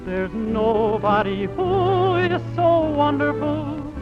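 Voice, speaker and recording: Male tenor, very high and drawn-out sentimental 1930s ballad, muffled old radio recording with music. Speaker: tenor singer on a radio show. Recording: dull vintage 1930s radio broadcast. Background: musical accompaniment.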